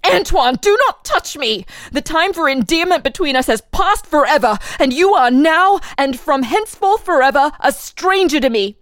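An actress playing a proud, resolute young village maiden, formal and declamatory in a melodramatic reading. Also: female voice, high-pitched, animated, moderate pace, few pauses, a bit bright, no room sound, clean studio sound. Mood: wounded farewell.

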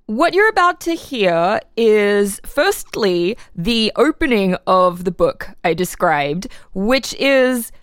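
A woman's voice: medium pitch, very animated, slow, hardly any pauses, clean tone, dry recording, studio quality. A woman podcast host giving an articulate, informal, conversational introduction.

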